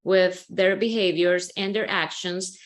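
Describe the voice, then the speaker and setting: A woman from Colombia, a company CEO, explaining her consulting approach in a webinar with steady, measured speech and frequent "um"s. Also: lowish voice. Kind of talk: webinar presentation.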